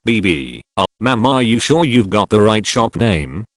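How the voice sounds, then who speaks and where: A weary auto shop boss takes a complaint over the phone, patient and matter-of-fact, as a man reads him. His voice sits low and dry. It moves up and down as he talks. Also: big pitch swings, unhurried, no room sound.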